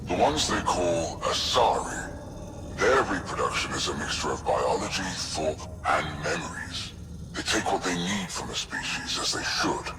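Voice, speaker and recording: Very deep, imperious alien overlord. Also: male voice, animated, poor audio. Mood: cold contemplation. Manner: measured, grandiose, clinical and menacing. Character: cold, imperious male alien overlord studying captive specimens. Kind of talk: dramatic villain monologue in a sci-fi video game. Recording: loud music under the voice.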